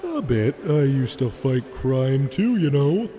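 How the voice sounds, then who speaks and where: A man's voice: medium pitch, very animated, slow, mellow, big-room sound, slight background noise. A man playing a friendly, nostalgic father in an audio drama, easygoing and reminiscing. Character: friendly, nostalgic dad, former crimefighter.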